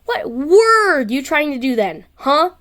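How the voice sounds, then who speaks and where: A woman's voice: sits high, sing-song, takes it slowly, fairly bright tone, exaggerated voice. A woman voicing a brash, grumpy, defensive girl in an amateur story reading, blunt and scoffing. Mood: sarcastic. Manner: blunt, scoffing, easily flustered.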